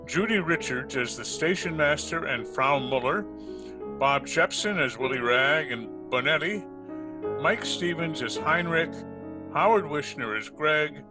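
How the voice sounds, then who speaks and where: A man's voice: on the high side, animated, unhurried. A man reading scripted credits as a radio play announcer. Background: plucked guitar music.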